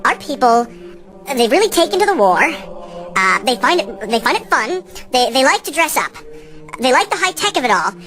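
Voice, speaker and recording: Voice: high-pitched, very animated, pushed and bright, soft background music, full character voice. An actor voicing a nervous, bumbling, apologetic underling in a cartoon video game, stammering and hedging. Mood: sheepish. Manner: stammering, hedging, rushed bursts.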